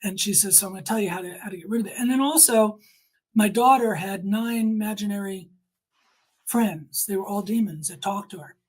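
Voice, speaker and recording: A woman's voice: medium pitch, fairly quick, darkish tone, breathy edge, poor audio. A middle-aged woman giving earnest, emphatic personal testimony in conversation, quoting people as she tells her story.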